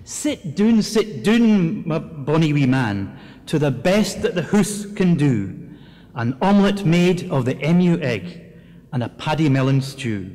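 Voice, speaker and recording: A warm, hospitable old farmer speaks in broad Scots, as a Scottish man recites him. He talks slowly, in a voice a little high for a man. A long hall reverb trails every line. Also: very animated. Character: hearty old farmer welcoming a stranger.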